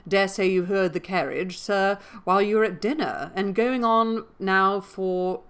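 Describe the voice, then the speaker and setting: A woman's voice: medium pitch, measured pace, clean tone, natural voice. A woman voicing a deferential, chatty male inn waiter with rustic, plain-spoken manners in a storybook. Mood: obliging, chatty.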